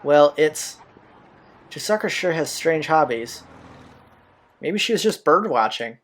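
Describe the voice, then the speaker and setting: A man's voice: fairly high pitch, animated, slow, fairly bright tone. A man reading visual novel text aloud in an informal, slangy way, as host of a playthrough. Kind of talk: reading game text aloud with casual asides. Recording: game music behind the voice. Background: visual novel soundtrack.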